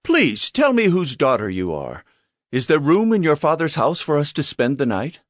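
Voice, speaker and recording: A humble, devout servant in a Bible audio drama. The delivery is very animated and pleading, with a mid-pitched voice in a dry studio sound. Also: male voice, normal speed, no room sound. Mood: polite, pleading. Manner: earnest, respectful, pleading. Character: devout, earnest, deferential male servant of a master.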